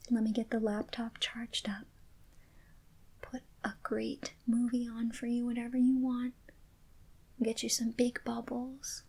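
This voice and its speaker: Breathy lady whispering soft and slow for asmr, calm steady tone. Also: on the high side, poor audio. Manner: soft unvoiced whisper, gentle and reassuring. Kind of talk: ASMR comfort roleplay.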